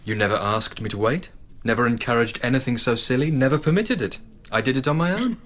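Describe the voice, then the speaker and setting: An actor playing an earnest, bookish young man in a radio drama, lovestruck and self-deprecating, speaking politely and wistfully in measured phrases. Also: mid-range voice, lively intonation, a bit bright, lightly acted. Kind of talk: literary radio drama acting.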